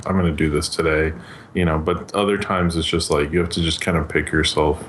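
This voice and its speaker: A man with a deep voice chats as a podcast panelist. His delivery is informal and conversational. A faint noise lies behind him. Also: level tone, a little fast, a bit bright. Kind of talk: casual podcast chat.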